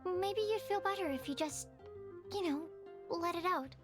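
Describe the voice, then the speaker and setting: A woman's voice: very high-pitched, normal speed, music in the background. A woman voicing a cheerful, innocent little girl mage in a video game, with simple childlike phrasing. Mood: concerned, caring. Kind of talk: video game character acting.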